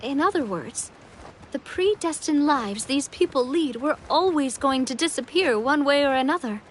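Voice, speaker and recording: Genial young game heroine: high, lively intonation, thoughtful, expressive. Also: female voice, normal speed, a little hiss or hum, poor audio. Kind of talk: video game character acting.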